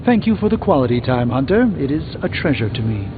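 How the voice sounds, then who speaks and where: An actor playing a learned, composed sorcerer in a video game, speaking in a formal, eloquent, dryly witty way. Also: male voice, mid-range voice, big pitch swings, brisk, phone-quality sound, noisy background, close to an everyday voice. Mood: composed, approving. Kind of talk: scripted video game dialogue.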